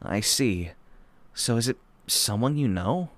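A man playing a blunt, skeptical, nagging high-school teenager in a manga dub. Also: lively intonation, slow, no room sound. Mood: surprised, curious.

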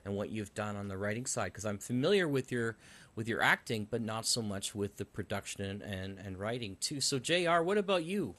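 A man's voice: on the low side, animated, fairly quick, fairly bright tone. A man hosting a podcast, chatting casually as he interviews his guests.

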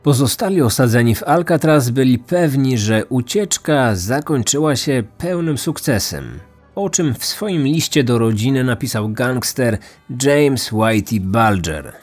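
A man's voice: medium pitch, animated, a little slow, a little mellow, faint music behind. A man reading scripted true crime narration as a podcast host.

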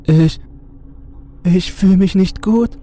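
A man's voice: sits high, slow pace, mellow, a little hiss or hum, voice pushed up. A man voicing a suffering young addicted woman in a horror audiobook, weak, plaintive and pleading. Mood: sick, weak.

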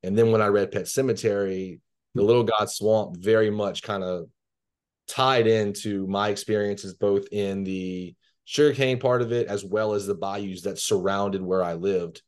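Deep-voiced guy in his 30s from louisiana, reading horror slow and even over a video call. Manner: low, measured, even reading voice. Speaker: guest author. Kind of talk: reading his horror fiction aloud, then casual answers. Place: bedroom at home. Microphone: video call laptop camera.

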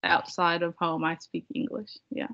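A woman's voice: on the low side, little pitch movement, moderate pace, gravelly, pushed and bright. A young woman, a native Spanish speaker, chatting casually and a bit hesitantly as a guest on a video call. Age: young adult. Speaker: seminar guest. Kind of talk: casual conversation. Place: indoor room on a video call. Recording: dull, lo-fi video call audio.

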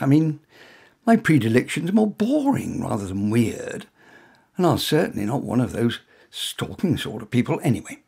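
A middle-aged man with a gravelly, husky voice reads his own story aloud. His delivery is dry and wry, conversational, with asides and small hesitations. His pitch moves around a lot. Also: darkish tone. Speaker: author reading his own short story. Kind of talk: first-person story narration.

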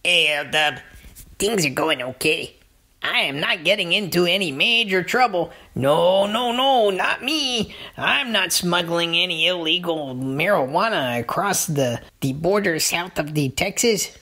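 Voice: mid-range voice, lively intonation, unhurried, sharp tone, voice pushed up, full character voice. A performer voicing a small, wary talking lizard in a comedy skit, repeating words and speaking in uncontracted phrases. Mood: shifty, defensive. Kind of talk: comedy puppet-style dialogue.

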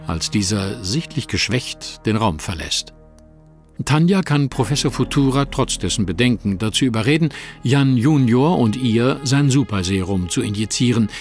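Guy narrating a radio play, lowish voice, soft music under it. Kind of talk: scripted audio drama narration.

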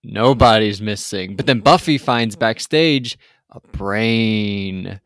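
A man's voice: on the low side, slow, a bit bright, dry recording. Plain man recapping in a dry room. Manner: rambling, hesitant, many restarts. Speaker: podcast co-host. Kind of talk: casual episode recap chat.

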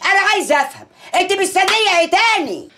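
A woman's voice: very high voice, animated, quick pace, noisy background. An actress playing a worried, scolding middle-aged mother who nags reproachfully in an Egyptian Arabic accent. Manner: nagging, reproachful.

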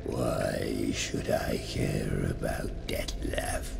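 A man voicing an ancient, reclusive vampire elder in a video game, gravelly and whispery, terse, imperious and menacing. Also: extremely low pitch, fairly even intonation, very slow, full character voice. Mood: contemptuous menace. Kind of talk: dramatic video game acting.

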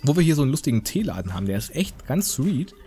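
A man's voice: mid-range voice, big pitch swings, fast, mellow, soft background music. A man giving casual, joking game commentary in a rambling, slangy, mock-indignant way. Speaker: gaming video host.

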